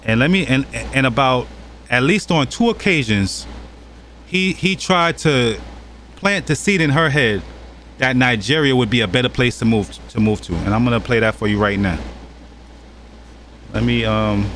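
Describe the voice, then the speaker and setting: Mid-pitched American man, mocking commentary host, faint noise in the room. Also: moderate pace, long pauses, fairly bright tone. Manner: mocking, challenging. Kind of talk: reaction commentary.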